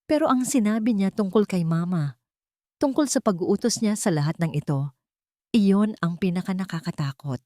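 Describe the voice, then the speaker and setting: A woman voicing a resolute wronged mother in a melodramatic audio story, quiet but firm. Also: medium pitch, animated, moderate pace, no echo, clean studio sound, close to an everyday voice. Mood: wary. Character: resolute wronged young mother, steady despite fear.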